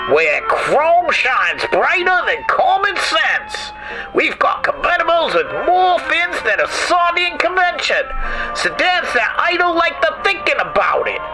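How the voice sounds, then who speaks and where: A man voicing an over-excited used-car salesman in a 1940s-style radio ad parody, pitching loudly and eagerly. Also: full character voice, poor audio. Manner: loud, hyped pitchman shouting.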